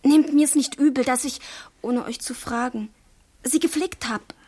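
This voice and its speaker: Bold, husky, lovestruck young girl. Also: high voice, measured pace, a little mellow. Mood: artless, chatty. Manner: earnest, forthright, naive. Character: young village girl in boys' clothes, bold, lovestruck, artless. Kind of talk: literary radio drama acting.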